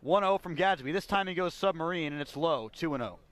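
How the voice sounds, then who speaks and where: Guy doing live baseball play by play for radio, bright punchy voice, kinda high pitched. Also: animated, fairly quick.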